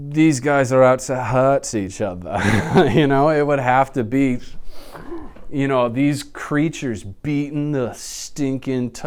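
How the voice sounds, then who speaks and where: Smooth-voiced man, casual and animated. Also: mid-range voice. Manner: animated, slangy, blurts sound effects. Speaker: interview guest. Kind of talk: casual off-the-cuff chat. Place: small indoor office, seated.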